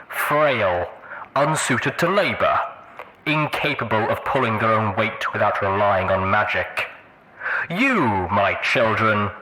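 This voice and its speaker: A man's voice: on the low side, background noise. A man voicing a charismatic pony slaver leader, preaching a grandiose, fatherly propaganda speech over the radio.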